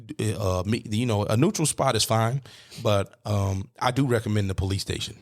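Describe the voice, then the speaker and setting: A man in his thirties hosts a casual podcast chat, easygoing and quick to laugh. His voice sits a little low, and he swears freely as he tells a story. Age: 30s. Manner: laid-back, swears casually, laughs often. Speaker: podcast host.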